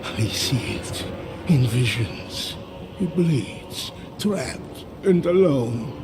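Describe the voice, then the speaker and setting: Zealous cult patriarch, creaky gravel voice, anguished, very slow, brooding, theatrical, video game cinematic. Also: male voice, medium pitch, very animated, over music, heavily acted. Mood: mournful. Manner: theatrical, brooding, swelling with fervour. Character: fanatical, anguished patriarch of a bear-god cult.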